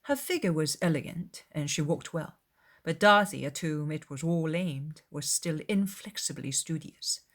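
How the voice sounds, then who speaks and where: A woman reading scripted literary narration as an audiobook narrator, in a measured, formal storytelling manner. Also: low-pitched, animated.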